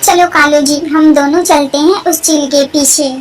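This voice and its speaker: A woman's voice: high voice, fairly even intonation, unhurried. A woman voicing a loyal, helpful female crow with an earnest, encouraging manner in a cartoon. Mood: determined.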